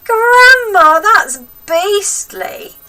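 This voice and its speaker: A woman plays a frightened little boy in a children's story. The voice jumps high and sharp, wailing in squeamish protest. It is picked up slightly away from the mic. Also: lively intonation, extremely slow, distant mic, full character voice. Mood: appalled.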